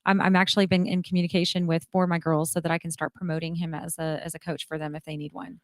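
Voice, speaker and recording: A woman guest chatting on a radio program. Her voice is slightly low and she is easygoing, agreeing readily and filling in with quick affirmations. The recording is close and dry. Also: little pitch movement, no echo. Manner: warm, agreeable, frequent affirmations. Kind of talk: casual chat.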